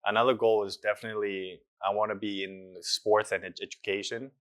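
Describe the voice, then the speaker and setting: A man's voice: on the low side, level tone, fairly quick, fairly bright tone. A young man, an actor, giving earnest and reflective interview answers with hesitant fillers.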